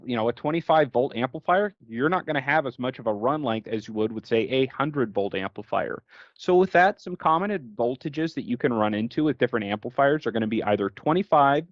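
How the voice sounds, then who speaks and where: Male technical trainer, American, mid-pitched, conversational slide-course delivery on a dry, close, slightly lo-fi recording. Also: no room sound. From United States. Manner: conversational, colloquial, explains with everyday examples. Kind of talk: informal explanatory slide lecture.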